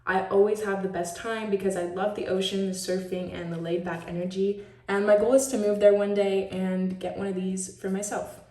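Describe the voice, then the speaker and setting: A woman's voice: mid-range voice, flat delivery, normal speed, few pauses, a little mellow. A teenage girl from Switzerland giving an upbeat, chatty show-and-tell presentation of a school project. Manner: chatty and upbeat, talks a lot. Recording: roomy echo, mic at a distance.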